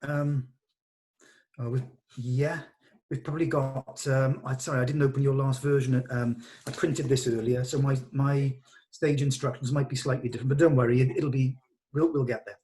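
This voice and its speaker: Bloke directing a rehearsal, mid pitch, kind of hesitant, thinks as he talks, encouraging. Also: little pitch movement, normal speed, warm tone. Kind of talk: informal rehearsal discussion, some reading of stage directions.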